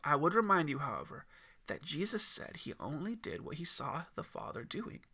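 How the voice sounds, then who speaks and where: A man voicing a mysterious, kindly mountain man in an audiobook, gently instructive and measured. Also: a little high, very animated, vocal fry, breathy edge, full character voice. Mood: patient.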